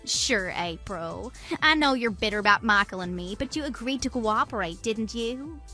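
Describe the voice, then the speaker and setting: A woman acts out a gloating man-stealer in a radio drama. Her voice is bright and sharp, swooping with exaggerated, boastful taunts over a music bed. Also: on the high side, expressive, normal speed. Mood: smug, impatient. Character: smug, gloating mistress who steals other women's men. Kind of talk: scripted radio drama dialogue.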